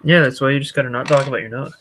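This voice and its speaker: A young man chatting casually as a guest, with a dry, deadpan way of teasing. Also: mid-range voice, rapid-fire, soft background music. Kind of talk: casual chat.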